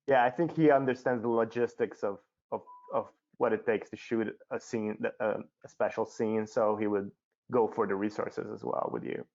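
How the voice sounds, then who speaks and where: A low-pitched man with a Hungarian accent talks as a guest in a panel conversation. He feels his way through sentences, often repeating words. The sound is dull, like a video call. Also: steady tone, normal speed, a little mellow. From Budapest, Hungary. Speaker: cinematographer, interview guest. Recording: muffled lo-fi online call.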